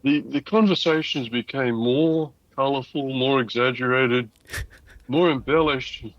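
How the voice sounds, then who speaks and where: Older man, casual storytelling guest, loudspeaker designer, muffled band-limited recording, very little room sound. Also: mid-range voice, unhurried, dry recording.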